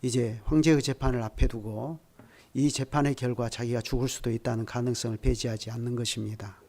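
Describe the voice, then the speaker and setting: A man in his sixties delivers a sermon at a midweek prayer service. His preaching is measured and unhurried, swelling to emphatic peaks at key points. He turns often to address the congregation directly, with the odd light chuckle. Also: medium pitch, fairly even intonation, darkish tone. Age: 60s. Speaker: pastor.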